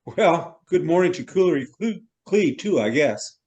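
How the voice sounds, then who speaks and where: A man's voice: on the high side, lively intonation, normal speed, darkish tone, lo-fi sound, natural voice. A man playing an effusive, wordy middle-aged novelist from New York in a theatrical stage reading. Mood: puzzled, polite. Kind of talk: stage reader's theater acting.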